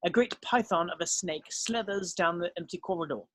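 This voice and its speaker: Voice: mid-range voice, brisk, fairly bright tone, no echo. A narrator reading screenplay stage directions as scripted narration, now and then stumbling over the text.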